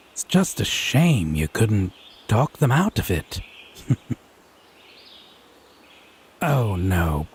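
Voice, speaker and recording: A man's voice: lowish voice, breathy edge, heavily acted. A man playing a smug, tipsy male fae who teases his lover with mocking, sardonic condescension in a theatrical audio roleplay. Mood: sarcastic. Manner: drawling, mocking, sardonic, pet names. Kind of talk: slow theatrical audio roleplay monologue addressed to listener.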